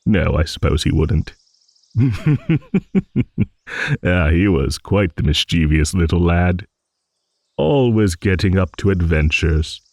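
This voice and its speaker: A man voicing an ancient, genial dragon in an improvised fantasy audio roleplay, rambling and musing like a refined, hesitant old gentleman. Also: sits low, very animated, takes it slowly, pauses often, dry recording, clean studio sound, full character voice. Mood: amused, fond.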